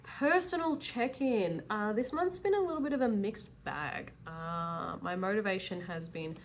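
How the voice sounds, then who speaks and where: A woman's voice: mid-range voice, unhurried, slight background noise. A woman in her early thirties talking casually and candidly in a rambling, chatty vlog style, with self-deprecating asides. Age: early 30s. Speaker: vlogger, self-employed dog groomer.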